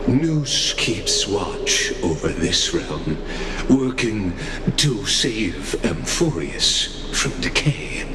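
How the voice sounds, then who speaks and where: A grave, scheming old elder speaks with a very deep voice and a crawling, measured pace. His words ring out in a roomy echo. Music plays clearly behind him. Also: heavy background noise, exaggerated voice. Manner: slow, grave, measured speech. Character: scheming male elder and watcher of a realm.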